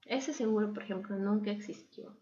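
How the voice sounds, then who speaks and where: A woman's voice: mid-range voice, a little fast, dark tone, highs cut off. A young woman with an Ecuadorian Spanish accent, telling her own experience conversationally as an interview guest. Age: young adult. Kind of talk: conversational personal storytelling and advice.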